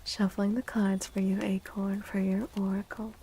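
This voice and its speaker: A woman streaming soft-spoken ASMR tarot readings in a breathy half-whisper, gentle and encouraging. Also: medium pitch, flat delivery, moderate pace, slight background noise, poor audio.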